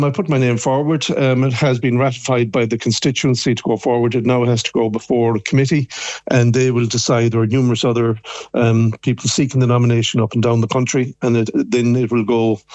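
Flat, halting west of Ireland man by phone. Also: medium pitch, no echo. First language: Irish. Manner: halting, repeats words. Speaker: local councillor being interviewed. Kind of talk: live radio interview answer. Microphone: phone line. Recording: dull phone line.

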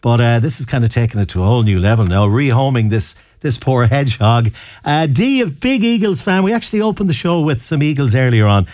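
A man's voice: mid-range voice, very animated, a little fast, a little mellow, no echo. A man hosting a radio show, chatting in a jokey, easygoing way. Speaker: radio host. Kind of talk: casual radio chat.

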